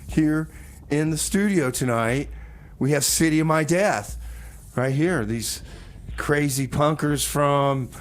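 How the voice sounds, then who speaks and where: A man's voice: on the high side, takes it slowly. A man hosting a community radio show, talking casually and folksily, often hesitating and repeating words. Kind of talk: casual rambling on-air talk. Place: small radio studio. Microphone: studio microphone.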